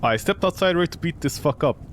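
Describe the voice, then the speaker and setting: A man's voice: a little high, lively intonation, fast, slight background noise, lightly acted. Man reading as a blunt, suspicious tough tenant. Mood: wary, blunt. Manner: slangy, blunt, swearing.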